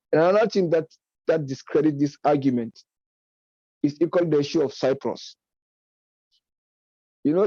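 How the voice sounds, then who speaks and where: Man, mid-pitch, very dark tone, argumentative lecture delivery with frequent self-corrections; dry, muffled recording. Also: dry recording. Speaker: speaker on politics and religion. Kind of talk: unscripted lecture-style commentary.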